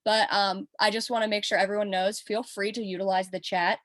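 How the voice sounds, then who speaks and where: A young woman hosts an online panel, explaining the format in a friendly, organized way with frequent "um"s. Her voice is mid-pitched. The recording is dry, with no room sound. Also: flat delivery, fairly quick, no room sound. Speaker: graduate assistant host.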